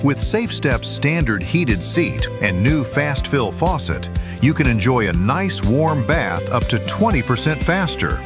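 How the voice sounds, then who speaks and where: A man's voice: medium pitch, big pitch swings, unhurried. A man reading a scripted advert as an announcer. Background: music.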